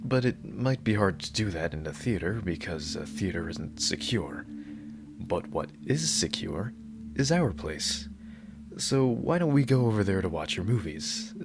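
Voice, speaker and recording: A man's voice: low voice, sing-song, unhurried, creaky, background noise, exaggerated voice. A man playing a devoted, dorky yandere bodyguard in an ASMR roleplay, earnest and rambling, awkwardly correcting his own slips. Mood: flustered, devoted. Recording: loud music behind the voice. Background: music.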